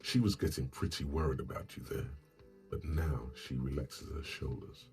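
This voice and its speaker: Detective's brooding inner narrator, video game, dark tone, gravelly fry, dry, deliberate, music underneath. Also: male voice, rumbling low, steady tone. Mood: dry, observing. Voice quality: gravelly, raspy.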